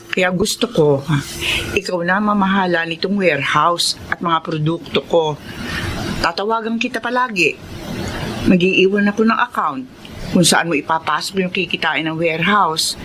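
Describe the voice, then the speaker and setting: A woman's voice: fairly low pitch, fairly bright tone, natural voice. An actress playing a kindly, businesslike old woman in a radio drama. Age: older. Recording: noisy, with vehicle ambience behind.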